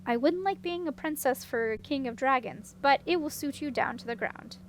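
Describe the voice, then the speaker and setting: A woman's voice: high voice, normal speed, slight background noise, lightly acted. A woman voicing an assured, practical young woman in a storybook. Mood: matter-of-fact. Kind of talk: storybook character reading.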